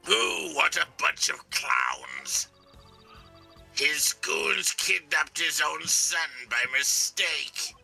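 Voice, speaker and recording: A man's voice: on the high side, very animated, drawn-out words, creaky, sharp tone, breathy edge, soft background music, full character voice. A man voicing a smug, teasing kidnapper who drawls mockingly at pursuers in a video game read-through. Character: taunting, smug kidnapper toying with pursuers on phone. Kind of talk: live video game voice-over.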